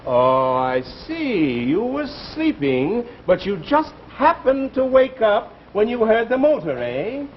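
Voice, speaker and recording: High, blustering radio police sergeant. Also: male voice, big pitch swings, full character voice. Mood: suspicious. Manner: halting, interrogating, mutters "eh?". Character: suspicious, blustering, scatterbrained male police sergeant. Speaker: comic actor in a radio show. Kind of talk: 1950s radio comedy acting. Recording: muffled old radio recording, noisy studio audience. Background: audience and clear noise.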